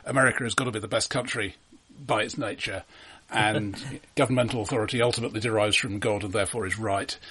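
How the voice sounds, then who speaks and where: A man with a husky voice co-hosting a film podcast, talking off the cuff in a hesitant, stammering, wryly critical way. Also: on the low side, steady tone, fast talker, few pauses, fairly bright tone, slight background noise. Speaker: podcast host. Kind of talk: unscripted film discussion.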